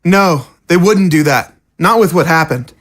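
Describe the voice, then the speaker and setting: A woman as a blunt, animated young man. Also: on the low side, measured pace. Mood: bitter, worried. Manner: blunt, colloquial. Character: indignant, blunt young undead man, a zombie escapee. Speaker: voice actor. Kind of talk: scripted audio drama acting.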